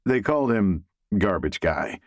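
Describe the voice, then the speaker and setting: Audiobook role: awkward, good-natured middle-aged man read by a man, deep register, slow and sheepish. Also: big pitch swings, no room sound, full character voice. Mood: amused, reminiscing. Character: Bernie, amiable, awkward male realtor, middle-aged.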